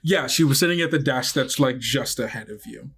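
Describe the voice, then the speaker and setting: A man narrating a tabletop roleplaying game as game master, improvising descriptions in a halting, conversational way. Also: mid-range voice, fairly bright tone, husky.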